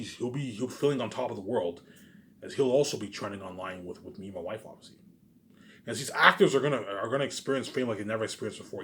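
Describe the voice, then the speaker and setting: A man's voice: mid-range voice, a bit bright, a little hiss or hum. A man giving a rambling, informal monologue in a boastful, repetitive, emphatic way, swearing now and then. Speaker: vlogger.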